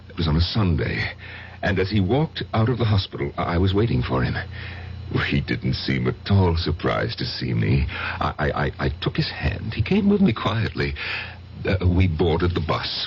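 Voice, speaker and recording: Low-voiced, haunted confessor. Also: male voice, a little hiss or hum. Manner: intense, halting, dramatic pauses. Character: haunted, guilt-ridden man confessing a dark secret. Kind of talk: old-time radio drama monologue. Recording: muffled vintage radio recording.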